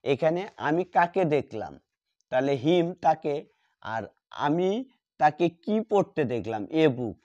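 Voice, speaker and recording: A man teaching a grammar lesson, repeating key words slowly as he writes them out. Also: a little high, expressive, a little mellow. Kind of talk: classroom lesson at a board. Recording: dull lo-fi sound.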